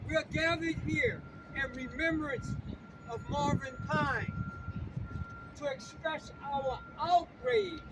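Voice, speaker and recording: A man's voice: very high-pitched, slow. An older man speaking at an outdoor protest rally, emphatic and repeating his lines for the crowd to echo. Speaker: rally speaker and campaign co-director. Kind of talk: impassioned protest rally speech. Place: outdoors on a city street. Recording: distant mic with loud street traffic.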